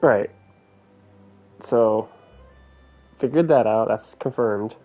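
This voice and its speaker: A man's voice: on the low side, slow, dark tone. A man giving casual live gameplay commentary, musing aloud in short, slangy bursts. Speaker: game streamer. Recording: game music faintly behind. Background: video game music.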